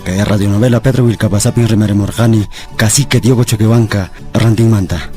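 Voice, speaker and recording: A man introduces himself as a radio actor. His voice sits fairly low, with faint music behind it. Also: fairly even intonation, fairly quick, warm tone. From Peru. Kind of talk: self-introduction to audience.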